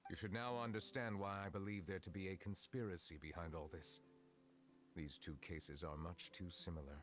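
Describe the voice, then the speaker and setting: An actor playing a dignified, reserved dragon judge in a video game, speaking formally and with restraint. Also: male voice, low voice, fairly even intonation, normal speed, soft background music, natural voice. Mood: reserved, measured.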